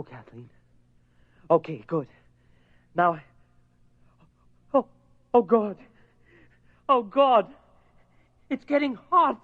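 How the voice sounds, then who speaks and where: A man's voice: high voice, dry recording, full character voice. A man playing a desperate husband in a horror radio play, intense and imploring as he confronts a spirit. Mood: anxious, urgent. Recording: muffled lo-fi radio drama recording.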